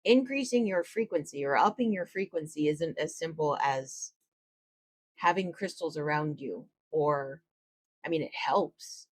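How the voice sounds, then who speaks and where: A woman with a low voice, a tarot reader gently explaining spiritual ideas in a casual, reflective talk to camera. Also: slightly rough, dry recording.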